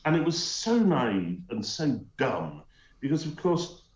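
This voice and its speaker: Teesside man, animated, roomy dull line. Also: mid-range voice, slow pace. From North East England. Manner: emphatic, scornful, hesitant with many uhs. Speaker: guest commentator. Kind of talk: opinionated talk show commentary. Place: remote link from home.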